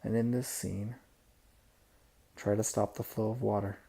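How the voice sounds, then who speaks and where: Very dark-voiced man guiding meditation. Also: fairly low pitch, level tone, creaky. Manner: soft-spoken, unhurried instruction with pauses. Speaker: meditation guide, psychotherapist. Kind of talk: guided imagery meditation.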